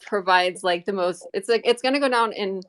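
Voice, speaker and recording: An American woman chatting casually as an interview guest, rambling and halting as she rephrases mid-sentence. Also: medium pitch. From United States. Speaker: interview guest, community member. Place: live online audio group chat.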